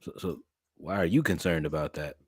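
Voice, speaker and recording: A young man, talking to his livestream chat in an animated, preacherly way. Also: deep voice, fairly quick, slightly rough, dry recording, studio quality. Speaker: streamer and podcast host.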